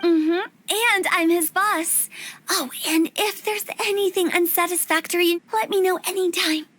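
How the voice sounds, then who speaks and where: An impish young boss. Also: female voice, extremely high pitch, fairly even intonation, unhurried. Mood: bubbly. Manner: bright, impish and chatty. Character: young woman funeral parlor boss, bubbly and mischievous. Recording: game cutscene with soft music behind.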